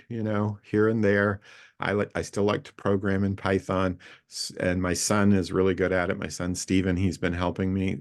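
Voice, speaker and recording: A man's voice: deep voice, creaky. A man in his late sixties talking casually on a video call, introducing himself to a community group in a rambling, friendly way with many fillers and restarts. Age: 60s. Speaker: co-founder introducing an online community session.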